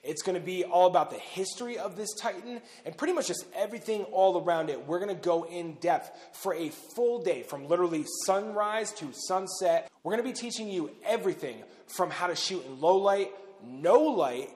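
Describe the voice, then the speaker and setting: A man's voice: high-pitched, lively intonation, moderate pace, few pauses, darkish tone. A man in his thirties hosting a casual talk to camera inside a large underground silo, speaking loosely with frequent fillers. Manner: conversational, loose, with frequent "uh" and "pretty much". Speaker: bunker owner and host. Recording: long reverb of an underground silo.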